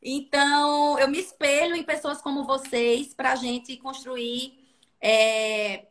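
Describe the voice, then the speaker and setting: A woman with a Sergipe accent teaches in an animated, instructive way, often pausing to check that her listeners are following. Her voice is bright and sits fairly high. She speaks as a guest labor inspector on a livestream. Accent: Sergipe, northeastern Brazilian. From Sergipe, Brazil.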